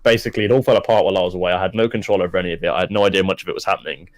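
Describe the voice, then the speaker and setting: A man's voice: low-pitched, steady tone, very fast, dry recording. A young man, talking casually and at length as an interview guest, with many restarts and fillers. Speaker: esports caster and guest.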